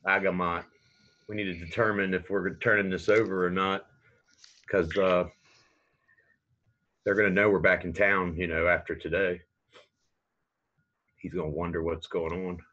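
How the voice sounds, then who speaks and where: A man's voice: sits low, little pitch movement, moderate pace, long pauses, pushed and bright. A man playing a tabletop game over a call, speaking in a plain, businesslike way. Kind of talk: casual in-character chat over a call. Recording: muffled online call audio.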